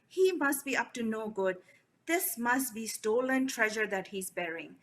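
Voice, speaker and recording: A woman's voice: a little high, animated, measured pace, a bit bright, heavily acted. Woman doing a nosy suspicious neighbor. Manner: suspicious, muttering to himself.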